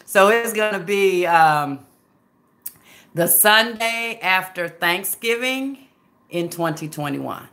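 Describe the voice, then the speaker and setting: A woman's voice: mid-range voice, lively intonation, slow, a bit bright. A woman in her fifties from Memphis with a Southern accent, chatting folksily with her livestream viewers and calling them baby and girl. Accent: Southern American. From Memphis, USA. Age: 50s. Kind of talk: casual livestream chat.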